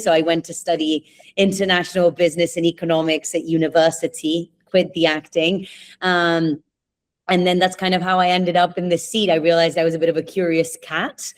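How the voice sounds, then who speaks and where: Chatty woman guest, lowish voice. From Spain. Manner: chatty, laughs often, asks herself questions. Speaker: podcast guest. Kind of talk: casual interview answers.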